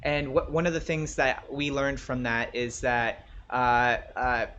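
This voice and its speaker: A man's voice: mid-range voice, a little slow, a bit bright, muffled recording, slight background noise. A man hosting a casual interview, asking questions and addressing the audience in a conversational way. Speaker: interviewer, show host.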